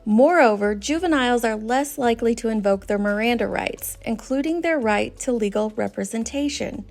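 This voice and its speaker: A woman's voice: a little high, normal speed, gravelly, darkish tone, faint music behind. A woman hosting a commentary channel, reading a scripted voice-over in an earnest, critical way. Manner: reads from notes, critical and earnest.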